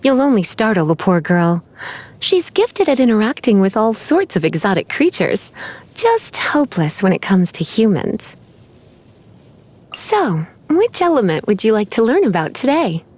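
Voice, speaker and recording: Sultry, teasing sorceress, lilting voice. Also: female voice, a little high, very animated, darkish tone. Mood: teasing, musing. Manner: sultry, teasing, unhurried, coy. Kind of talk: video game character voice lines.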